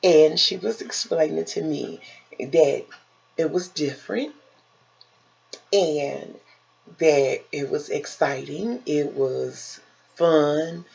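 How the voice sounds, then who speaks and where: Woman, lowish husky voice, unhurried pace, emphatic casual storytelling, theatrical, dull lo-fi sound. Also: a little mellow. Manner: emphatic, theatrical, quoting conversations with rhetorical questions. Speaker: vlogger telling personal stories.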